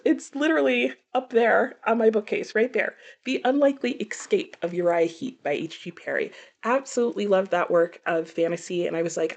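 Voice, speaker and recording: A woman talking casually as a book reviewer, conversational, with animated asides and self-corrections. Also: mid-range voice. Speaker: book reviewer presenting a book haul. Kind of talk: casual chat to camera.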